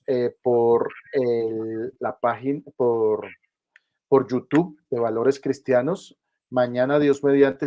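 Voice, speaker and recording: Effusive male interviewer, halting speech. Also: mid-range voice, darkish tone. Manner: effusive, halting with repeated words. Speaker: interview host. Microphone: video call.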